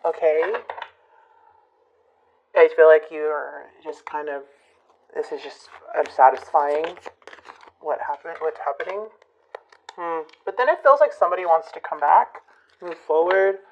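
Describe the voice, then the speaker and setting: A woman giving a casual tarot reading to her viewers, musing and hesitant, her voice a bit hoarse from a recent illness. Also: low voice, measured pace, pauses often, darkish tone, highs cut off. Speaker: tarot reader.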